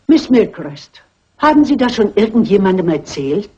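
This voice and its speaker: A woman's voice: medium pitch, big pitch swings, normal speed, a little mellow, breathy edge. A woman playing a shrewd, composed elderly lady detective in German film dub dialogue. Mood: composed, firm. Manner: composed, decisive, matronly. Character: shrewd, brisk elderly lady amateur sleuth. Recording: dull, noisy old film sound.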